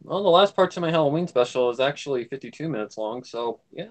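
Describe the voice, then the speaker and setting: Man, medium pitch, casual livestream chat, blunt, grumbly delivery. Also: expressive, fast talker. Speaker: livestream co-host.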